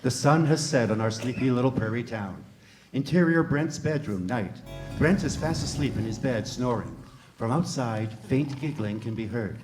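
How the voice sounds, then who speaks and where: A man's voice: mid-range voice, expressive, normal speed, music in the background. A man reading stage directions aloud at a live table read, wry and matter-of-fact. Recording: echoey hall with noise.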